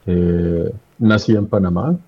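A man in his thirties with a Bogotá Colombian accent, a radio guest who tells his story in a relaxed way and slows for emphasis. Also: low voice. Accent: Bogotá Colombian Spanish. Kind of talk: radio interview. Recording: dull lo-fi line.

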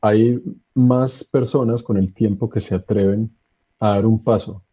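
Bogotá guy in his 30s, deep dark husky voice, relaxed storyteller, dull lo-fi line. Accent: Bogotá Colombian Spanish. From Colombia. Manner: relaxed storyteller, slowing for emphasis. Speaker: guest. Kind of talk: radio interview.

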